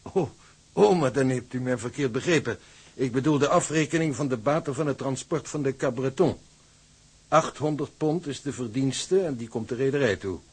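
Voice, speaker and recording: Husky, unctuous office manager played by a man. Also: medium pitch, normal speed, a little mellow, close to an everyday voice. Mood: formal, unyielding. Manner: formal, unctuous courtesy with swooping emphasis. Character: polite, smooth-talking, unyielding male shipping office manager. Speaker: actor. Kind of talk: Dutch radio drama acting.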